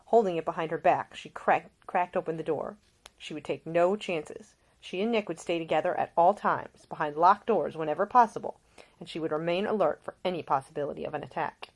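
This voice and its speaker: A woman's voice: low-pitched, a little fast. A woman reading scripted audiobook narration, an author telling their own story.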